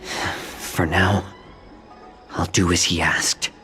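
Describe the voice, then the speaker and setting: Low-voiced, guarded fox man. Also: flat delivery, very slow, bright, forceful tone, soft background music, heavily acted. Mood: wary, pondering. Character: captive male fox-folk man, guarded and shrewd. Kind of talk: dramatic video game acting.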